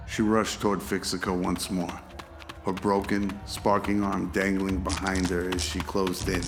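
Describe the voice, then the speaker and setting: A man's voice: low voice, little pitch movement, a little slow, noisy background. A man reading scripted narration for a radio drama.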